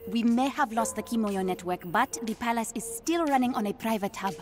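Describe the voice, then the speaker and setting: An actress playing a young, sardonic princess in a video game, brisk, wry and confident. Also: female voice, fairly high pitch, over music, lightly acted. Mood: wry, composed. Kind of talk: video game cutscene acting.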